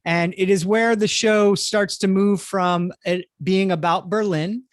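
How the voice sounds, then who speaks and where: A man with a notably high voice hosts a live panel discussion. He explains things to the audience with enthusiasm. The sound is dry, with little room around him. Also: fairly even intonation, measured pace, dry recording. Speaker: host of a theatre panel.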